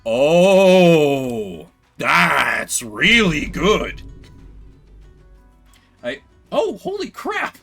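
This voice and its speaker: Animated, higher-pitched male game streamer. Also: very slow, vocal fry, pauses often. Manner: profane, animated. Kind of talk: excited gaming livestream commentary. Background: game music.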